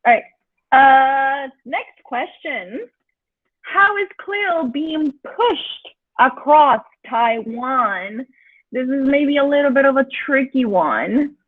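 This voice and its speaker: A woman's voice: sits high, lively intonation, takes it slowly, dry recording. Chatty Mexican-accented woman, tinny call audio. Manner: chatty and encouraging, many "um" fillers. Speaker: workshop presenter for teachers. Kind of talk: online teaching workshop with live polls. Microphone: video call.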